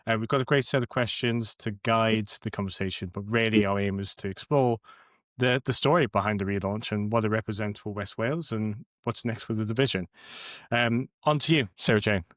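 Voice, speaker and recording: A man's voice: on the low side, fairly quick, a bit bright, no echo. A young man hosting a podcast interview, introducing his guest and asking questions with frequent restarts. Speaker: podcast host.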